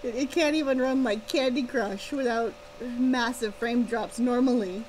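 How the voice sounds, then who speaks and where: Female livestreamer, high voice, casual and wryly exasperated, faint fan buzz on a laptop mic. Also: unhurried, a little mellow, a little hiss or hum, rough recording. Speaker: live streamer, author. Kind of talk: casual chat with livestream viewers. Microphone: laptop's built-in or connected microphone.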